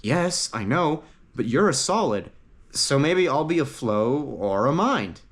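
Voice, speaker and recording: An earnest young man from a fantasy tale, voiced by a male narrator. He sounds serious and hopeful, a little halting, with a lively rise and fall as he states his dream. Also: medium pitch. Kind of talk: acted storybook dialogue.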